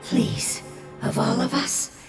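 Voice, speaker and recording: A woman's voice: slow pace, close to an everyday voice. A woman voicing a solemn, compassionate companion in a fantasy video game, with a grave, earnest delivery. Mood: pleading. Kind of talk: scripted video game dialogue. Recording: echoing game audio, loud music. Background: loud game music.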